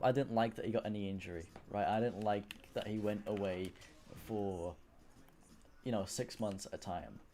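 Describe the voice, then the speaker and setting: Young male streamer, Welsh accent worn English, warm low-key tone, loose rambling chat. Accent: Welsh with English influence. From Wales. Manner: rambling, swears casually, answers chat. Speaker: live streamer. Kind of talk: casual live-stream chat.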